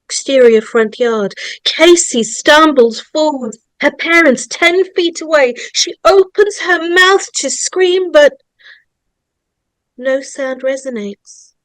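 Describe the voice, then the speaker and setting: A woman narrating a horror screenplay in a dramatic, deliberate way, building suspense in short phrases. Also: sits high, a bit bright, dry recording, low-quality recording.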